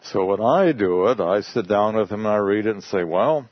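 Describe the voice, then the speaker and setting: A man's voice: lowish voice, expressive, normal speed, no echo. A man whose first language is English, a missionary telling a congregation about his work in a folksy, conversational storytelling way. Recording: dull lo-fi recording.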